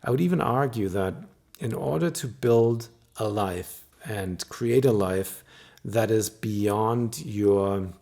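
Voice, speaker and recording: A middle-aged man whose first language is German, a hypnotist talking to camera in a calm, explanatory and softly persuasive way. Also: fairly low pitch, slow, gravelly. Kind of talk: solo talk to camera.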